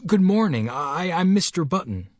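A man voicing a frantic new father, a respectable gentleman, overwrought and exclaiming, in a storybook reading. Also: high-pitched, big pitch swings, normal speed, no room sound, pitched above the performer's own voice. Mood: nervous, appalled.

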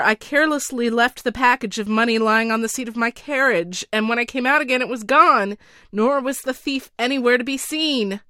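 A woman's voice: on the high side, fairly bright tone, no room sound, clean studio sound, pitched above the performer's own voice, natural voice. A woman voicing a frightened, guilty male royal counselor who speaks in a shaking voice, in a storybook reading. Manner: shaking, pleading voice.

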